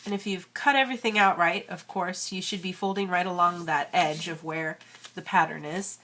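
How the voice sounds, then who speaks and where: A woman's voice: lowish voice, steady tone, moderate pace, bright, forceful tone, a little hiss or hum. A woman in her thirties with a cold, chatting casually as she walks through an origami tutorial. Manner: chatty, friendly, many fillers. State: has a cold. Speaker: origami crafter and channel host.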